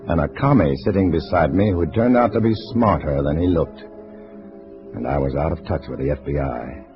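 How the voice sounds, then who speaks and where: Low-voiced hard-boiled undercover agent. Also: male voice. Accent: American. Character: cool, tough undercover agent posing as a communist. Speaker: lead actor and narrator. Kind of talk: 1950s spy radio drama acting. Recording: dull old radio recording with music.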